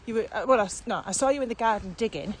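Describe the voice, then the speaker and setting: A woman's voice: fairly high pitch, very fast. A woman chatting casually as a podcast co-host. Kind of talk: casual conversational podcast chat. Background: steady ambient noise.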